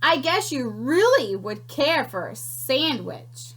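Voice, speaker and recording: A woman voicing a small, bossy female sand creature in a storybook read-aloud, speaking slowly with a crackly voice. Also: sits high, very animated, a bit bright, husky, exaggerated voice. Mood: smug. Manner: slow and drawn out.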